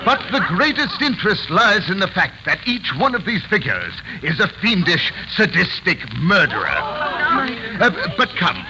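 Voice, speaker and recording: A man's voice: high voice, very animated, unhurried. An actor playing a proud, pompous wax museum showman with formal, theatrical speech in 1940s radio style. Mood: macabre relish. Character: grandiose, smooth-talking wax museum showman and proud sculptor. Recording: noisy, hissy 1940s radio recording.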